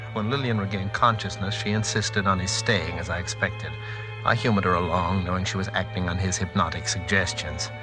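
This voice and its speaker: A man's voice: sits low, natural voice. An actor playing a blunt, hot-tempered and protective family man in a dramatic 1940s radio suspense style. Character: hot-tempered, protective husband and father narrating his ordeal. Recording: old 1940s radio recording.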